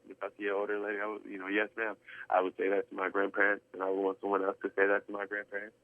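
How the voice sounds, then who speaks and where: A young man from Texas, speaking in an earnest, rambling way, full of restarts. His voice sits fairly low and comes through a tinny, narrow phone line. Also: completely flat delivery, very fast. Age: 20s. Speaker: guest drummer. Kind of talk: casual interview chat.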